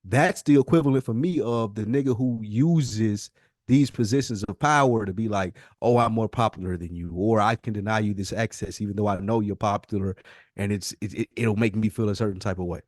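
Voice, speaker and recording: A man's voice: lowish voice, a little fast, darkish tone, dry recording, studio quality. A man co-hosting a casual podcast, talking in slang and pressing his points with repetition. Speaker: podcast co-host.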